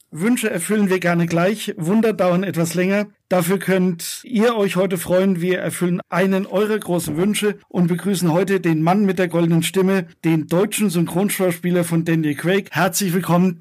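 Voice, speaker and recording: Higher-voiced male podcast host. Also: steady tone, normal speed, few pauses, darkish tone. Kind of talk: casual podcast talk, interviewing.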